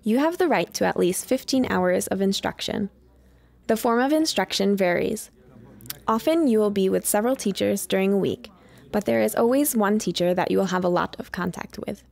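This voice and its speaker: Woman, scripted voice-over, even delivery, slight fry. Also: medium pitch, moderate pace. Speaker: voice-over narrator and interpreter. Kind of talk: scripted informational narration.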